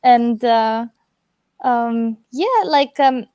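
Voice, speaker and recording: A woman in her twenties, raised in Italy, talks easily as an interview guest, filling her sentences with casual "like" and "kinda". Her voice is warm and mellow, and the recording is dry and close. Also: on the high side, very slow, clear, resonant tone, no echo. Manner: relaxed, chatty, many fillers like "like" and "kinda". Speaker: guest singer.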